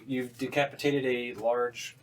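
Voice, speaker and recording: A man narrating a live tabletop roleplaying game as game master, casual and halting as he improvises. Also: mid-range voice, little pitch movement, slight background noise, low-quality recording. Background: plucked guitar music.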